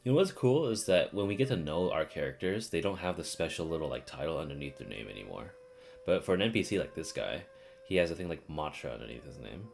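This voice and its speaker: A low-voiced streamer chats casually over faint game music. The delivery is lively in pitch, slangy and irreverent, with easy swearing, like someone hanging out on a livestream. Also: quick pace, gravelly. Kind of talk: casual livestream gaming chat.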